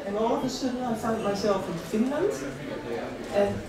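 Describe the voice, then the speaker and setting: A woman's voice: fairly high pitch, normal speed, crowd noise. A middle-aged woman from the Netherlands giving a personal, conversational motivational talk to an event, repeating key words for emphasis. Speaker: invited speaker at a career event. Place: large room at an employment event. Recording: echoey hall, distant mic, audience noise.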